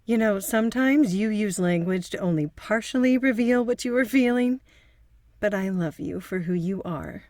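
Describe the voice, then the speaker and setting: A woman's voice: medium pitch, moderate pace, a little mellow, no echo, clean studio sound, natural voice. A woman playing an earnest, affectionate psychotherapist in audio drama dialogue.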